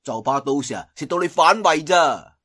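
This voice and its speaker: A man's voice: fairly high pitch, expressive, normal speed, fairly bright tone. A man voicing a sneering young beggar-gang member in a Cantonese audiobook, with a taunting manner. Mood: mocking.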